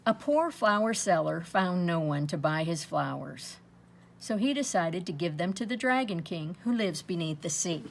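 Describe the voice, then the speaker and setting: A woman reading aloud to children in an expressive storytelling style, with dramatic pauses and drawn-out emphasis. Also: lowish voice, vocal fry. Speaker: storybook reader.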